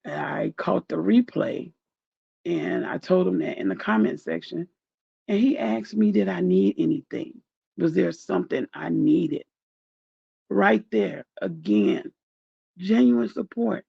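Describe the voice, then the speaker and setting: Groggy gravelly man, slow and grieving. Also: lively intonation, no echo, muffled recording. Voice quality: groggy, deep. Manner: soft-spoken, rambling, heartfelt. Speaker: YouTuber thanking viewers. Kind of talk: casual direct-to-camera chat.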